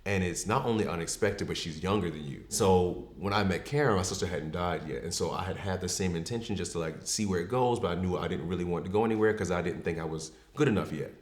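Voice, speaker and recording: Deep-voiced man in his thirties, reflective. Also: quick pace, few pauses. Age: 30s. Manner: reflective and open, with frequent fillers. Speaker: podcast guest. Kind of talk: candid personal storytelling. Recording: echoey room.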